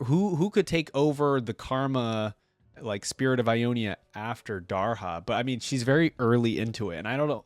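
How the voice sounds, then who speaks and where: Guy doing a reaction vid, casual rambly chat, normal pitch, dry close sound. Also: no room sound. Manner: rambling, conversational. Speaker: video creator reacting to game voice lines.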